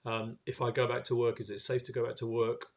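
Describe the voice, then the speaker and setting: A man giving an informal sermon reflection in a hesitant, halting way, pausing mid-phrase. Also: mid-range voice, flat delivery. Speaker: church speaker giving a reflection.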